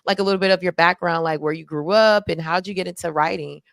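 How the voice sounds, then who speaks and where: A woman hosts a relaxed interview, stumbling and repeating herself as she builds her questions. Her voice is on the low side and recorded close and dry. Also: gravelly, no room sound. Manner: halting, repeats words, many fillers. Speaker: podcast host. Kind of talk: casual interview.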